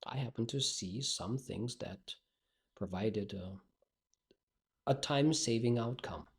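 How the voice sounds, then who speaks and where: A man's voice: mid-range voice, slow pace. A male speaking coach, not a native English speaker, talking bluntly and directly in a solo podcast monologue.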